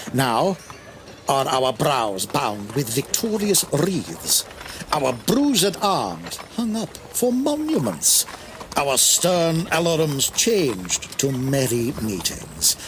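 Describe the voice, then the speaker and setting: An actor playing a scheming, villainous nobleman in a Shakespearean soliloquy, declaiming deliberately and savoring each phrase. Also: medium pitch, a little hiss or hum. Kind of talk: theatrical Shakespearean soliloquy, audiobook performance.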